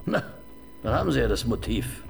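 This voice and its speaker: A man's voice: mid-range voice, big pitch swings, brisk, vocal fry, background noise. An actor playing a cynical male forensic doctor in a radio crime drama, making dry sardonic remarks.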